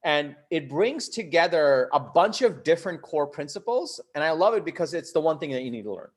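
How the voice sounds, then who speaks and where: Higher-pitched man coaching on a livestream. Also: sing-song, fairly quick. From Bangladesh until ten, then the US. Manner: conversational, emphatic, often checks in with rhetorical questions. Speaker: host, startup coach. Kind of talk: live online coaching talk to an audience.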